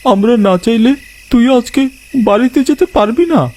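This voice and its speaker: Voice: mid-range voice, animated, unhurried, darkish tone, voice pushed up, natural voice. A man voicing a tall, menacing male djinn in a horror story, speaking with insistent threat.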